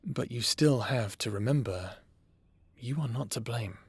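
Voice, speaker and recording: A man playing a calm, caring therapist who talks to a patient in a measured, reassuring way, in an audio roleplay. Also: mid-range voice, breathy edge, no echo. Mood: gentle, reassuring.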